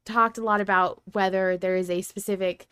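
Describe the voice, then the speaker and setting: A woman hosting a casual fan discussion podcast, theorizing in an animated way with some swearing. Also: mid-range voice, normal speed, clean tone, no room sound, studio quality. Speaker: podcast host.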